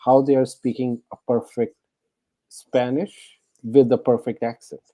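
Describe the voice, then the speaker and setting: A man who lives in Canada and speaks English with a non-native accent, chatting in a casual discussion, animated and questioning, with many fillers. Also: mid-range voice, unhurried, no echo. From abroad, lives in Canada.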